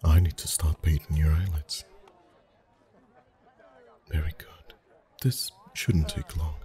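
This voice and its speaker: A man playing a friendly, chatty festival face painter in an ASMR roleplay, soft-spoken, reassuring and gently flirtatious. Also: a bass voice, fairly even intonation, takes it slowly. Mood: warm, chatty. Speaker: voice actor.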